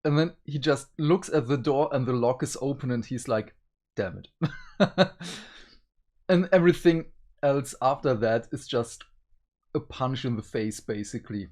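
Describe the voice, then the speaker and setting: A man's voice: mid-range voice, measured pace, a little mellow. A man hosting a casual review discussion, talking in a conversational way. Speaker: review show host.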